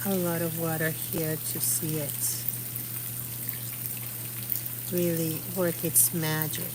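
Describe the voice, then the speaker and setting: A middle-aged woman from Puerto Rico chats slowly and loosely, often trailing off as she hunts for words. Her voice sits a little low. Clear background noise from the outdoor live stream is heard behind her. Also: level tone. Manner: rambling, searching for words. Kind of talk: casual chat while painting. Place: outdoors under an umbrella.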